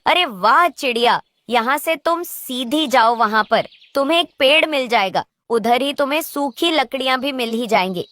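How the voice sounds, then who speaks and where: A woman's voice: high-pitched, lively intonation, normal speed, hardly any pauses, bright, forceful tone, no echo. A woman voicing a wicked, lazy female crow who nags and schemes in a children's cartoon. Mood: falsely helpful. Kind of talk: Hindi children's cartoon character voice.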